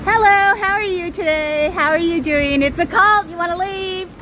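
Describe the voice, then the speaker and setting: A woman with a high, piercing voice chats through a casual livestream, rambling from thought to thought and needling her listeners with sarcastic questions. She is recorded outdoors by a street, with loud traffic and passing cars heard behind her. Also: moderate pace. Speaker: livestream host.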